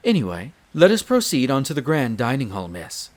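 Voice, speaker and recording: A man's voice: mid-range voice, huge pitch swings, a little fast. Man playing a formal, deferential castle butler. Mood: polite, attentive. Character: courteous, devoted male head servant of a vampire castle. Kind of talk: scripted roleplay audio drama, addressing the listener.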